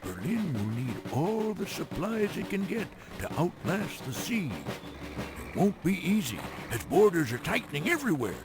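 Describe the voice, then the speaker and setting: High, wildly swooping theatrical game briefer. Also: male voice, very animated, moderate pace. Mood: grave, dramatic. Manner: theatrical, teasing, grandiose. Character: blustery, folksy, wisecracking male mission briefer in a train game. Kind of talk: theatrical scripted game cutscene narration.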